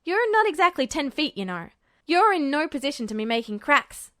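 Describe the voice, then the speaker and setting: A woman voicing a wry, cool-headed young male foreign soldier in a story, with terse, halting phrasing. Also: high voice, very animated, bright, forceful tone, no echo, clean studio sound, heavily acted. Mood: teasing. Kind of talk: storybook character dialogue.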